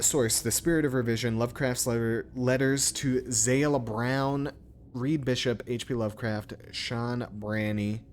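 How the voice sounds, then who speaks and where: A man's voice: medium pitch, a little hiss or hum. A man giving casual playthrough commentary as a gaming streamer, with an irreverent, joking, sweary manner.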